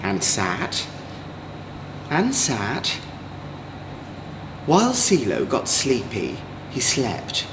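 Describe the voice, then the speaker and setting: A man with an English accent, a drag queen storyteller, reading a children's story in an expressive, gentle way. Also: mid-range voice, extremely slow, pauses often. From Manchester, England. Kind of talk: children's storybook read-aloud. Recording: echoey room, clear background noise.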